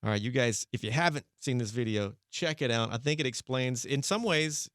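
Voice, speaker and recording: A man's voice: mid-range voice, lively intonation, a little fast, fairly bright tone, smooth, dry recording, studio quality. An American man interviewing as a radio host in an informal, effusive, casual style. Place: radio studio.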